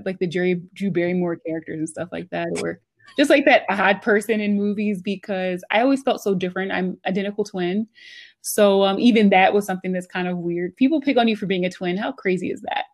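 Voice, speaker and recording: An American woman speaks as a guest in a relaxed interview format. Her voice sits at an average pitch for a woman. She talks informally and chattily, telling personal stories with plenty of "like" and "you know". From United States, Philadelphia area. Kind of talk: casual conversational storytelling.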